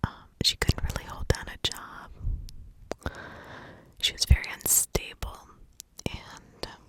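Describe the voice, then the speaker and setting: A woman telling a true crime story in a hushed ASMR whisper, pausing deliberately between short phrases.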